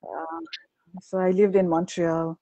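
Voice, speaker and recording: A middle-aged woman raised in Rwanda with French as her first language, talking softly and hesitantly as a guest on a video call. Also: lowish voice, level tone, slow pace, lo-fi sound, heavy background noise. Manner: soft-spoken, hesitant with many ums. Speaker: guest, visual artist. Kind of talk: casual video call interview. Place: home room indoors. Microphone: earphones on video call.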